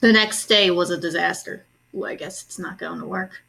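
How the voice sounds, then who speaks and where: A woman reading a children's story aloud expressively, pausing in a teacherly way to ask questions and explain to young listeners. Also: lowish voice, creaky, a bit bright. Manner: instructive, pauses to ask and explain.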